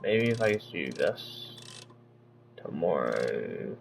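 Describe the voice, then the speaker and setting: Creaky, casual man streaming a game. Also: low-pitched, big pitch swings, slow, background noise. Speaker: game streamer reading dialogue along. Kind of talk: casual gameplay commentary, reading lines aloud. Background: game music.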